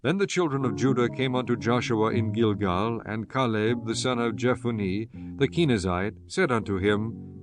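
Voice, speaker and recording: A man reading scripture as an audiobook narrator, in a measured, formal and solemn manner. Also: mid-range voice. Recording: music under the voice. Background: soft instrumental music.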